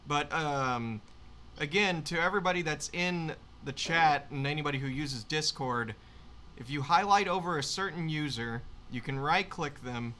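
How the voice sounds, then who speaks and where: A man's voice: on the high side, moderate pace, pushed and bright. A man who runs the stream, explaining settings to listeners in a casual, hedging way with ums. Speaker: stream producer. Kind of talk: casual live-stream chat.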